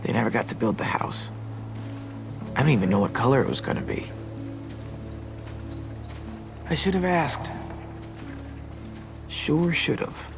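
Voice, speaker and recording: A man's voice: medium pitch, very animated, normal speed, vocal fry, long pauses. A man playing a wisecracking, dramatic teenage boy survivor in a video game, with an American accent and a playfully theatrical delivery. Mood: wistful. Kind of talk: video game voice acting, casual dialogue. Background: background music.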